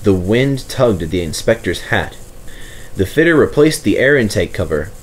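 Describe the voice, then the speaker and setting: A man's voice: fairly low pitch, big pitch swings, normal speed, slight background noise, rough recording. A man narrating a children's story from a script as the storyteller.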